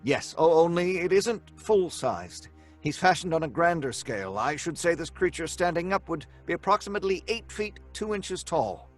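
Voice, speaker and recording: An actor playing an obsessed young scientist in old-time radio horror style, earnest, dramatic and deliberate. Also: male voice, on the high side, animated, faint music behind. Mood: nervous, polite.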